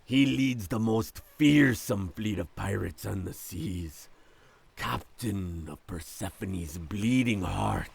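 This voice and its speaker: Man playing a very gravelly, stern ship's captain. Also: fairly low pitch, slow, exaggerated voice. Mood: grave, ominous. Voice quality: gravelly, husky. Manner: grave, commanding. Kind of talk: audio drama acting.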